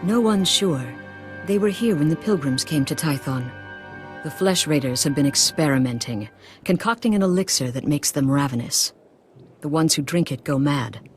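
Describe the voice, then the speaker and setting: An actor playing a humble, solemn village healer-priest in a video game, formal, courteous and ominous. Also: male voice, on the high side, animated, normal speed, soft background music, close to an everyday voice. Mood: solemn urgency. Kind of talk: video game dialogue acting.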